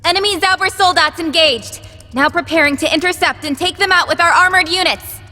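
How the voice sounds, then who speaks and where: A woman's voice: very high-pitched, a little fast, pushed and bright, big-room sound, faint music behind. A woman voicing a spirited young female operative in a military operation for an anime-style video game. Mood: bold, eager.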